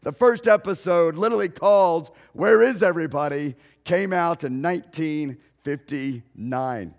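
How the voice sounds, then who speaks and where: A male pastor, telling a story in a sermon slowly and deliberately, raising his voice at dramatic moments. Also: a little high. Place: church sanctuary. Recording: long hall reverb.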